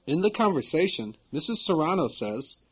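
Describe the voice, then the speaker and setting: Husky-voiced male course narrator. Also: a little high, big pitch swings, low-quality recording. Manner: measured, formal instructor delivery. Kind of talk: scripted instructional narration.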